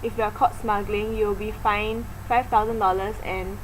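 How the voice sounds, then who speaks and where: A woman reads prepared interview questions at an average pitch. Noticeable noise runs behind her. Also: steady tone, normal speed, low-quality recording. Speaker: student interviewer.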